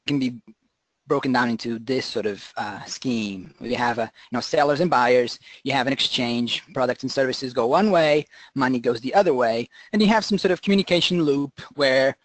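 A man presents an informal online lecture in a mid-pitched voice. He explains in a halting way, often repeating words as he goes. The recording is close and dry. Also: no echo, rough recording. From Portugal. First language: Portuguese. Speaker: guest presenter, conservation marketing researcher.

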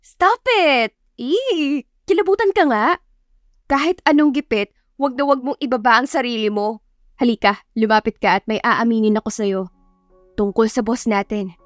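A woman voicing a chatty, bashful young female designer in a story, animated and girlish, with a Filipino accent. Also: on the high side, normal speed, no echo, studio quality, close to an everyday voice. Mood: exasperated.

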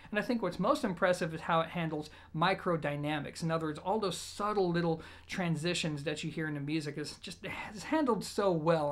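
A man, giving a conversational, emphatic product review to camera in an explanatory, informal way. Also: on the high side. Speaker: audio gear reviewer and host. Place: small indoor room, plain wall.

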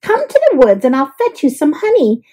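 A woman's voice: high-pitched, huge pitch swings, moderate pace, dark tone, voice pushed up. A woman voicing a kind, helpful young boy in a storybook, exclaiming and fussing earnestly. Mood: sympathetic.

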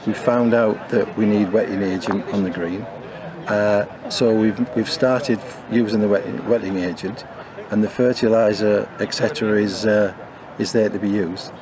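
A man's voice: lowish voice, fairly even intonation, unhurried, background noise. An older man, a bowling club committee member, speaking in an outdoor interview with many fillers and restarts. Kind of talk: interview talk.

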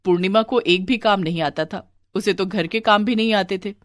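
A woman's voice: medium pitch, moderate pace, a little hiss or hum. A woman narrating a scripted family moral story as a storyteller.

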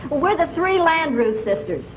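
High-voiced, blunt sister-act singer. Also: female voice, big pitch swings, moderate pace. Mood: deadpan. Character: blunt, touchy woman in a sister singing act. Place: theater stage before a live audience. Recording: muffled 1950s TV recording, noisy audience.

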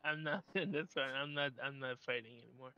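A man's voice: mid-range voice, fairly quick, highs cut off, crowd noise. A man talking casually in online roleplay over a game voice chat. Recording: noisy game voice chat with ambience.

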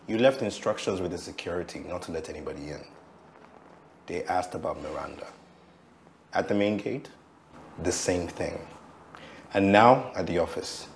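A man's voice: low-pitched, lively intonation, moderate pace, pauses often. An actor playing a jealous, accusing lover and aggrieved father in a screen drama, questioning in a confrontational way. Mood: suspicious, cold. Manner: confrontational, interrogating. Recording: roomy echo, faint background noise.

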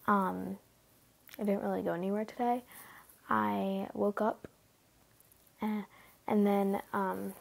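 A teenage girl talks to her camera from her bed late at night, stuffy from a cold. Her voice is mid-pitched and gravelly with fry. She rambles freely, stringing thoughts together with fillers and sometimes lowering to a near whisper. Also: flat delivery, slow, pauses often. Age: teens. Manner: rambling, filler-heavy, often hushed. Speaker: vlogger. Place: bedroom at night, sitting on bed.

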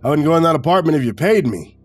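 Chatty retired handyman, acted out by a man, animated pitch. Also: quick pace, husky, dry recording, soft background music. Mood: amused, dismissive. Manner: rambling, conversational, snorting amusement. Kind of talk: dramatised horror storytelling.

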